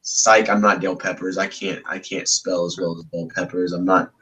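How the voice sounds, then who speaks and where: A young man with a deep voice, a backyard wrestler guest on a podcast, boasting and trash-talking in wrestling promo style. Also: level tone, a little fast, bright, forceful tone, poor audio. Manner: cocky, trash-talking, repeats catchphrases.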